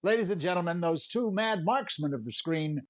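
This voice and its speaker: An actor playing an earnest, cheerful singer who hosts a 1930s radio show. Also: male voice, high-pitched, lively intonation, moderate pace. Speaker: show host. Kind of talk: 1930s radio comedy acting.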